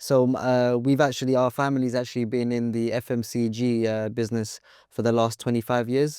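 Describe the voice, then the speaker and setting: Young British man, flat mellow voice. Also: medium pitch, quick pace, clear, resonant tone, no room sound, studio quality. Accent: British English. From United Kingdom. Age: young adult. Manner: hesitant, many fillers and restarts. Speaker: entrepreneur guest. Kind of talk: interview answers.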